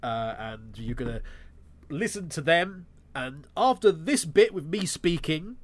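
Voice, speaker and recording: Man, podcast host, congested nasal voice, sarcastic wry asides, slightly high, very lively pitch. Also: unhurried, dry recording. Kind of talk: solo podcast commentary.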